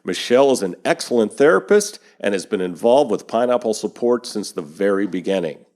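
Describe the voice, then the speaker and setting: A man hosting a podcast, reading industry news from a script and introducing his guest in a steady read-aloud manner. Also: on the low side, a little fast. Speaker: podcast host.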